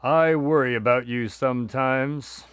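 An actor playing an earnest, slightly puzzled doctor sidekick in a radio drama, plainspoken, polite and a bit hesitant. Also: male voice, mid-range voice, measured pace, clear, resonant tone, dry recording, lightly acted. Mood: mildly bemused. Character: earnest, mildly puzzled male doctor, detective's practical sidekick.

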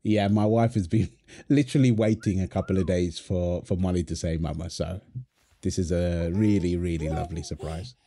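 A man's voice: deep voice, fairly even intonation, moderate pace, mellow. A man playing a tender, playful young husband and doting father in an ASMR roleplay, soothing and teasing as he talks. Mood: pleased, chatty. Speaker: voice actor of a roleplay audio. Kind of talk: ASMR boyfriend roleplay, acted one-sided dialogue.

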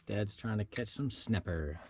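A man's voice: fairly low pitch, measured pace, crowd noise. A young American man giving casual fishing commentary as the vlogger on a trip. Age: young adult. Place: outdoors on a small boat on open water. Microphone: action camera on board. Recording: boat and water noise outdoors.

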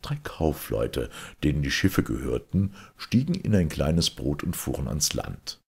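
Male fairy-tale narrator, extremely deep and raspy, expressive storytelling delivery. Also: a little slow. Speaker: storyteller reading fairy tales.

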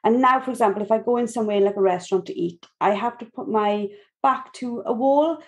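Welsh lady podcast host, chatty and relaxed, ums here and there. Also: fairly high pitch, brisk. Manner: chatty, relaxed questions with fillers. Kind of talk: casual podcast interview.

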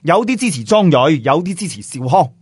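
Lively higher-pitched male storyteller. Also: fairly quick, husky, no echo. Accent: Cantonese, southern Chinese. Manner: colloquial, expressive traditional storyteller delivery. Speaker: audiobook storyteller. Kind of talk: animated scripted storytelling narration. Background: background music.

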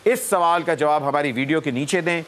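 A man with a fairly high voice hosts a comedy talk show in a TV studio. He teases his guests and reads out the rules of a viewer contest. He speaks into a lapel mic. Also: fairly quick, a bit bright. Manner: teasing, announcing contest details. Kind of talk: lively studio chat.

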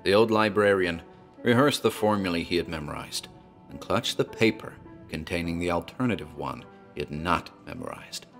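A man's voice: deep voice, animated, normal speed, fairly bright tone, faint music behind. A man narrating a horror tale in a theatrical, storytelling manner, as a tavern landlord.

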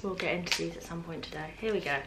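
A woman's voice: on the low side, fairly even intonation, fast, creaky, background noise. A woman in her twenties with a British accent, chatting informally to the camera as a vlogger, drifting into little asides. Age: 20s. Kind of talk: casual chat to camera, unboxing. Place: open-plan living room at home, indoors.

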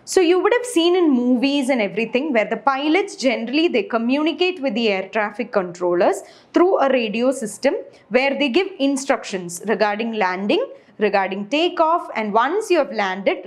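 A woman in her twenties with an Indian accent giving an instructional lecture, explaining step by step as a teacher. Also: a little high. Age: 20s. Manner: explanatory, rhetorical questions to structure points. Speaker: aviation lecturer.

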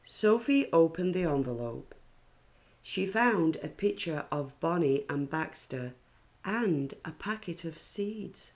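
Very slow, low-pitched woman reading a storybook. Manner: deliberate, expressive storytelling. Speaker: children's story reader. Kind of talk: picture-book read-aloud narration.

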